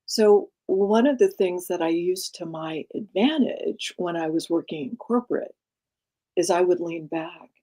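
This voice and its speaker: A middle-aged woman executive coach with a soft, breathy, laid-back voice, speaking calmly in an interview. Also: lowish voice, rough recording. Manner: calm, unhurried, laid-back. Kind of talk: conversational interview.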